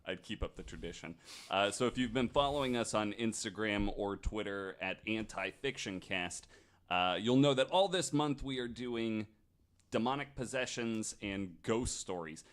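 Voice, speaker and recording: A man with a fairly low voice hosts a casual podcast. He tells stories informally, in halting, conversational phrases, as if chatting with friends. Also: expressive. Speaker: podcast host. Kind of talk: casual storytelling among friends.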